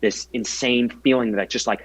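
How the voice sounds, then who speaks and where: A man's voice: mid-range voice, steady tone, moderate pace. A man in his twenties, a guest athlete telling his story in an earnest, reflective way during a casual interview. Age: 20s.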